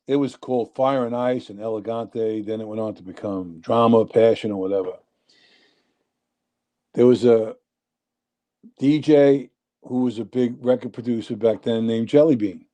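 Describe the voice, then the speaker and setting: Very dark-voiced New York man. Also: mid-range voice, unhurried, long pauses. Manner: rambling, animated storyteller. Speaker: interview guest. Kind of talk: casual storytelling.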